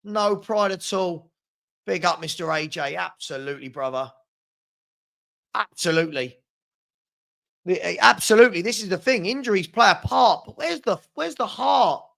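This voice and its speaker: Male watchalong host, British, high gravelly voice going hoarse. Exasperated, emphatic football commentary that hammers phrases by repetition. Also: lively intonation, moderate pace, pauses often, fairly bright tone. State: hoarse, losing his voice. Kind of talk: live fan commentary, reading viewer comments.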